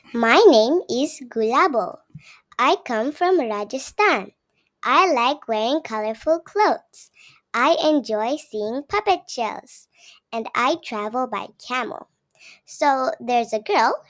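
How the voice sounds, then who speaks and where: A seven-year-old girl from Gujarat with an Indian accent, reading a story aloud and explaining it simply to viewers like a young teacher. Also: high-pitched. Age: child, seven years old. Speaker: young channel host.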